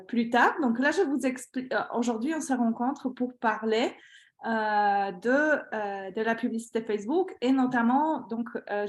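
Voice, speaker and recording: A woman with a Polish accent, speaking French as a second language, gives a webinar presentation over a video call. Her voice sits a little high for a woman, and she often pauses on fillers while finding her words. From Poland. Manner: hesitant, many fillers, laughs lightly. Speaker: guest expert presenter. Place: home.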